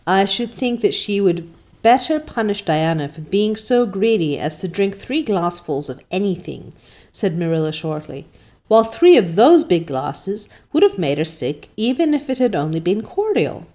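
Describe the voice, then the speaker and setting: A woman voicing a stern, brisk older spinster who scolds curtly and dryly. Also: medium pitch, sing-song, warm tone, lightly acted. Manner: curt, scolding, dry.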